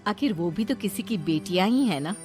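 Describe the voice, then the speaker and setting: A woman voicing a kind, gentle older neighbour in a Hindi moral cartoon, courteous and gently admonishing. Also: mid-range voice, expressive, normal speed, soft background music, lightly acted.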